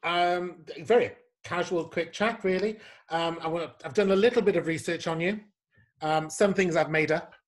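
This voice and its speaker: A man's voice: sits high, normal speed. A man hosting a casual interview over a video call from a home room, camp, playful and effusive. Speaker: host.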